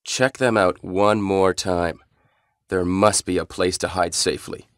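A man's voice: low voice, steady tone, measured pace, dry recording. An actor playing a cool, authoritative male squad commander in a stilted nineties video game. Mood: grave, commanding.

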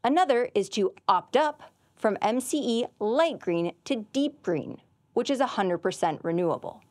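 A young woman narrates an educational piece in a clear, measured way. Her pitch is moderate. The recording is close and dry. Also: lively intonation, a little mellow, no room sound. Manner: clear, measured, informative delivery. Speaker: assistant winemaker.

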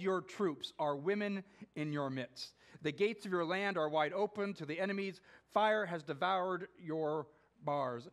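Man pastor, high-pitched voice, emphatic then hushed, small church sanctuary, picked up by a far camera. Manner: preaching, pacing while reading scripture aloud; dynamic, swings from emphatic to hushed. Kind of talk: sermon.

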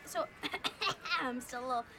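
A woman's voice: high-pitched, measured pace, heavy background noise. A young woman playing a teen girl posing as a boy at school, who stammers through flustered excuses. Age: young adult.

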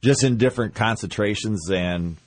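A man's voice: lowish voice, moderate pace, no room sound. A man speaking as a trade expert guest, explaining informally in a radio interview. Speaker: guest, basement builder.

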